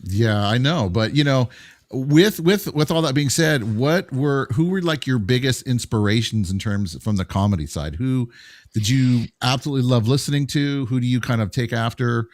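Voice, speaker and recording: A man's voice: medium pitch, moderate pace, no echo, studio quality. A man co-hosting a podcast, chatting casually in an easygoing, agreeable way and asking questions. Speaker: co-host.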